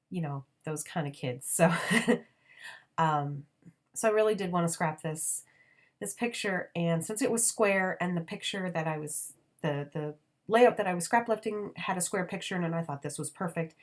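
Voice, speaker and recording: Woman in her thirties, low voice, a little raspy from a cold. Rambling and chatty, narrating a craft process. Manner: chatty, rambling, with frequent fillers and restarts. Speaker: scrapbooking vlogger.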